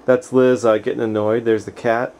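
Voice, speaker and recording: A man's voice: medium pitch, little pitch movement, normal speed, a little mellow. Easygoing man reading aloud, noisy home recording. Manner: casual, easygoing, digressing into asides. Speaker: author reading own book aloud. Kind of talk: informal read-aloud of a nonfiction book with casual asides. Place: at home, household around.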